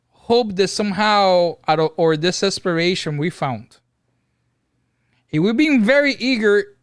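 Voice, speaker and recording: A man's voice: sits high, smooth, no room sound. A middle-aged father co-hosting a family podcast at home, speaking earnestly and thoughtfully into a close studio mic. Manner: earnest, thoughtful, halting with fillers. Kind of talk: casual family podcast talk. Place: home room. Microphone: studio mic on boom, headset.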